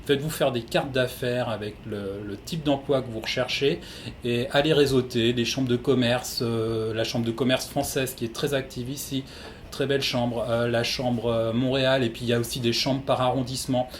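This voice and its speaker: A man's voice: mid-range voice, steady tone, moderate pace, a little hiss or hum. A man working in human resources, giving methodical, practical advice to newcomers in an interview.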